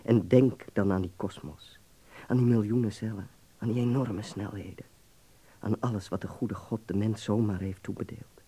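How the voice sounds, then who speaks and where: A worldly young student speaks in a low, dark-toned voice. He is affectionate and teasing, philosophizing with fervour, in the style of a radio play. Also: fairly even intonation, normal speed, slightly rough. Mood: earnest, marvelling. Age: young adult. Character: worldly, affectionate German medical student in Berlin. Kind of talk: Dutch radio play acting.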